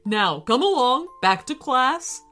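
A woman voicing a cheerful, brisk male wizard schoolteacher in a children's story, speaking encouragingly. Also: sits high, very animated, fairly bright tone, faint music behind, heavily acted. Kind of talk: storybook character voice.

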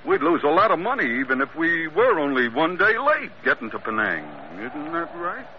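A man's voice: fairly high pitch, very animated, normal speed. An actor playing a scrappy, suspicious American sailor, a captain's sidekick in a radio adventure, talking in slangy tough-guy style. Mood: hesitant. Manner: slangy tough-guy talk, swinging from muttering to barking. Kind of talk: old-time radio adventure drama acting. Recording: muffled, faintly noisy old radio recording.

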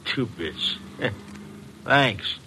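A man's voice: medium pitch, expressive, extremely slow, bright, forceful tone, slight background noise, exaggerated voice, rough recording. An actor playing a brash, truculent male panhandler with slangy, streetwise speech in an old-time radio drama. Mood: surly.

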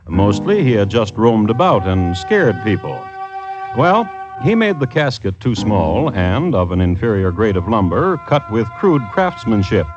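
A dull old radio recording with music behind a man narrating a crime tale. His voice is lowish and very varied in pitch, with droll, deadpan irony in a scripted 1950s style. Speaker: host and narrator.

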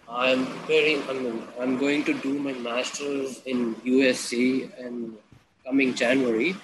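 A man's voice: mid-range voice, a little hiss or hum, poor audio. A young man from India, a student talking in a hesitant way with many fillers. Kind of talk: casual conversation practice.

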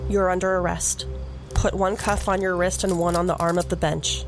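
A woman's voice: fairly low pitch, flat delivery, normal speed, faint music behind, lightly acted, rough recording. A woman playing a conflicted young cop. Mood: firm. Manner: tender, then firm and commanding. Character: young woman police officer, torn between love and duty.

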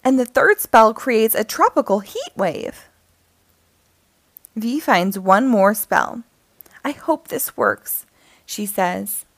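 A woman narrating a children's picture book aloud in an expressive, deliberate way. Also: a little high, dry recording. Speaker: storyteller reading picture books aloud.

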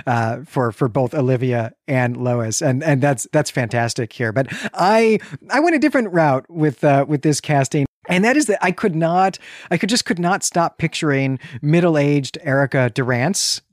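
A man's voice: fairly high pitch, animated, moderate pace, no room sound, studio quality. A man co-hosting a casual literary discussion podcast, chatty and readily amused. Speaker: podcast co-host.

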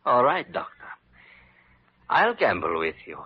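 A man's voice: medium pitch, wildly swooping pitch, measured pace, breathy edge, full character voice. An actor playing a dignified, fatalistic king facing death in an old radio drama, speaking solemnly and formally. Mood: solemn, resigned. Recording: muffled old radio recording.

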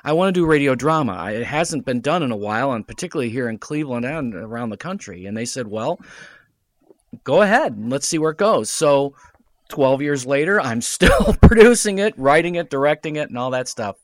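A man's voice: medium pitch, lively intonation, brisk, no echo. A middle-aged man telling stories casually as a guest in an interview, chatty and fond of quoting conversations. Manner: chatty, recounts conversations in quoted dialogue. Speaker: radio play writer and director, podcast guest.

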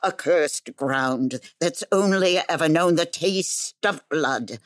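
A woman plays a sardonic storyteller in a fantasy audio drama. Her voice is very low, slow and close, with a dry, theatrical delivery. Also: no echo. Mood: ominous storytelling. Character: sardonic, haughty female storyteller narrator. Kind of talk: scripted fantasy narration.

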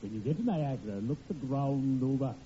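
An actor playing a friendly undertaker in an old radio comedy, formal and polite with dry, macabre humour. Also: male voice, medium pitch, lively intonation, a little fast, mellow, close to an everyday voice. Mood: genially morbid. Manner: formal, genteel phrasing with dry macabre wordplay. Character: friendly, morbidly punning male undertaker, unflappably polite. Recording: muffled, very noisy vintage radio recording.

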